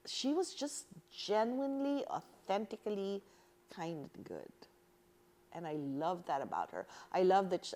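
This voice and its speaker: Interview guest: woman from the Philippines, middle-aged, low register, earnest and animated storyteller. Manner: earnest, animated, laughs easily. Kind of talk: reflective personal storytelling.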